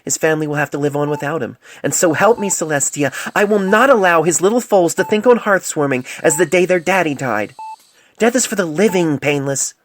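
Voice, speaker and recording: A man voicing a stern, grieving middle-aged female pony doctor in an audio storybook, grave and measured, on an amateur phone recording. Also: fairly high pitch, lively intonation, pitched above the performer's own voice, lightly acted. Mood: grieving, resolute. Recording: noisy amateur cell phone mic recording.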